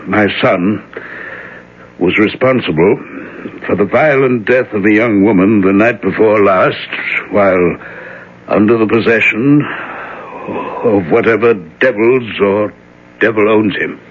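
An actor playing a grave, somber reverend and father with a slow, solemn manner in a 1970s radio drama. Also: fairly low pitch, a little hiss or hum, natural voice. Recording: dull old radio broadcast.

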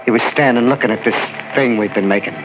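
A man's voice: mid-range voice, fast, natural voice. An actor with an American accent playing a frightened man on the run in 1940s radio horror, hesitant, pleading and colloquial. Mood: dazed, haunted recounting. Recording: muffled 1940s radio recording, loud organ music.

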